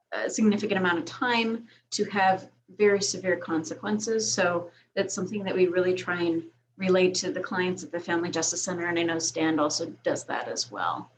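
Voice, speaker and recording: A woman's voice: on the low side, slight background noise, poor audio. A woman moderating a video call panel, thanking the speakers and introducing the next ones. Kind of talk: webinar moderation.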